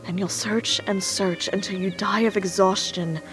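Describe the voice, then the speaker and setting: A woman playing an ancient goddess of dark woods in a visual novel, speaking in a formal, measured, sorrowful way. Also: medium pitch, steady tone, natural voice. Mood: solemn. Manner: solemn, formal, measured speech. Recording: background music.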